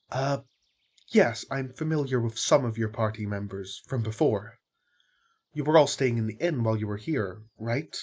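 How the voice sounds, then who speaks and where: Husky, earnest tavern worker played by a man. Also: mid-range voice, darkish tone, natural voice. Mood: alarmed, wary. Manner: casual, earnest. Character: concerned, friendly young tavern worker man. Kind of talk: audio roleplay acting.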